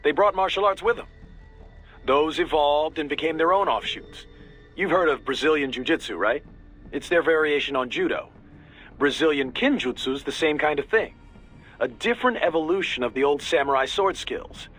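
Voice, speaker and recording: An easygoing military intel man from a video game. His husky voice sits fairly high as he explains things informally, with music in the background. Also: normal speed, a bit bright, rough recording. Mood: informative, relaxed. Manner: casual, explanatory briefing. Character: easygoing male military intelligence support adviser, knowledgeable, informal.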